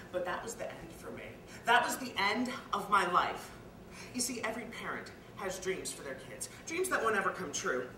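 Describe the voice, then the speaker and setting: A woman playing a bitter, guilt-ridden, washed-up reindeer parent in a confessional dramatic stage monologue that swings between hushed and loud. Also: lowish voice, lightly acted. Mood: grim, pained, then wistful, rising passion. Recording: echoey, distant, noisy live room recording.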